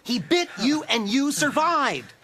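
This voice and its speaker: An actor playing an undead young American murder victim in an audio horror drama, wry and insistent, with a dramatic delivery. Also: male voice, very high voice, big pitch swings, takes it slowly, sharp tone, slight background noise, heavily acted. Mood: urgent, ominous. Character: undead young male murder victim, chatty, pleading, doom-laden ghost. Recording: music behind the voice.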